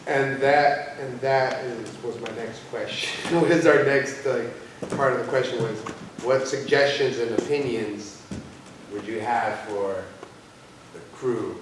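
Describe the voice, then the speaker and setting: A man's voice: mid-range voice, steady tone, takes it slowly. Male moderator in a very echoey hall. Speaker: discussion moderator. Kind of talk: taking audience questions. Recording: echoey hall, distant mic, noisy.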